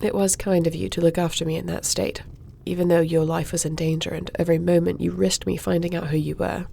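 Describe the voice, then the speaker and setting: A woman playing a guarded, dutiful young warrior princess in a fantasy audio roleplay, speaking formally and gently. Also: lowish voice, level tone, a little fast, vocal fry, a little hiss or hum. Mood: calm, probing. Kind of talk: audio roleplay fantasy acting, addressing listener.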